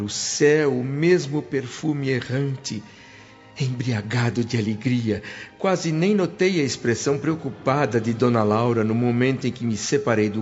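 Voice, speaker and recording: A man's voice: mid-range voice, close to an everyday voice. An actor playing a humble, sentimental spirit who recounts his afterlife in earnest, literary Brazilian Portuguese radio drama narration. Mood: joyful, nostalgic. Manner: earnest, reverent, literary storytelling. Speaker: narrator and protagonist. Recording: muffled radio drama with music bed.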